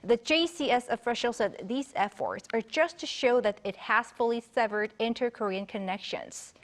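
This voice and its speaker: A woman's voice: on the high side, normal speed. A woman anchoring a television news broadcast, reading scripted stories in a formal news style.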